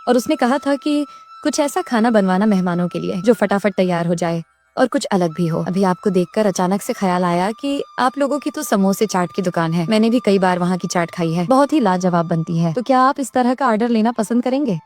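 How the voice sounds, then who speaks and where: A woman's voice: mid-range voice, expressive, brisk, a little mellow, close to an everyday voice. Courteous cartoon school principal played by a woman. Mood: friendly, requesting. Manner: polite, chatty. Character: courteous middle-aged female school principal. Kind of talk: Hindi moral-story cartoon dubbing.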